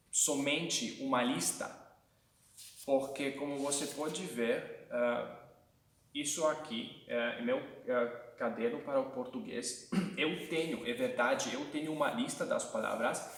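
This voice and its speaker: A Hungarian man with a Hungarian accent and Hungarian as his first language gives casual language-learning tips, hesitating often, with many fillers and restarts. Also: medium pitch. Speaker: language-learning video host. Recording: echoey room, mic at a distance.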